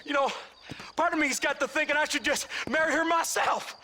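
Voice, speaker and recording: A very high-pitched American outlaw, swaggering and taunting with real menace. He performs in a western video game, over clearly noisy outdoor sound with horses. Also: a little fast, bright, forceful tone, heavily acted. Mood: menacing, bargaining. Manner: jeering, swaggering. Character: taunting, menacing Old West outlaw gang member. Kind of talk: dramatic video game acting.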